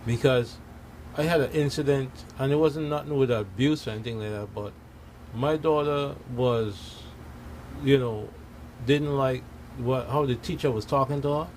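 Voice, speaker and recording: A man's voice: medium pitch, moderate pace, darkish tone, a little hiss or hum, rough recording. A man in a casual radio discussion, talking earnestly and anecdotally as a panelist.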